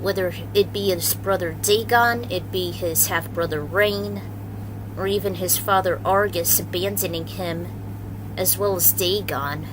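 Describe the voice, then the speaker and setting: Slow-paced woman storyteller over clear noise. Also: fairly low pitch. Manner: informal, unpolished, swears freely. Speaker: host and reader of own fan fiction series. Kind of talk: casual recap and story reading.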